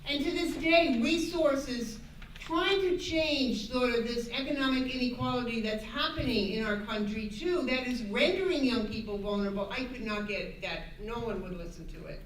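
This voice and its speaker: An older American woman giving an earnest, emphatic, unscripted advocacy talk as a panel speaker, often halting and rephrasing as she goes. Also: on the high side. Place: echoey indoor room at a public panel event. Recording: echoey room, distant mic, background noise.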